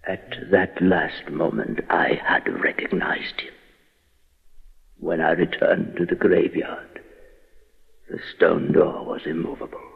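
An actor playing a tormented, horrified outcast in a Gothic radio monologue, halting and theatrical, repeating himself in anguish. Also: male voice, low voice, steady tone, gravelly, in a whisper, narrow, tinny audio, exaggerated voice. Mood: haunted, hushed. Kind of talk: dramatic radio horror monologue. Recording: radio recording with long hall reverb.

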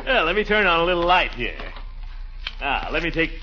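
A pompous, flirtatious middle-aged bachelor in a 1940s radio comedy. His bright, fairly high voice swings wildly with bluster and chuckles. The vintage recording is muffled. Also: big pitch swings, brisk, a little hiss or hum. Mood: flirtatious, eager.

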